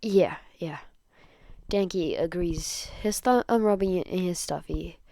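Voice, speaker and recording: A woman reads a story aloud as an amateur narrator, expressive but prone to small stumbles. Her voice sits a little low for a woman, and the sound is dry, with very little room. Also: a little slow, gravelly, dry recording. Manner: stumbles over words and rereads them. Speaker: podfic narrator. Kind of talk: expressive amateur fan fiction reading.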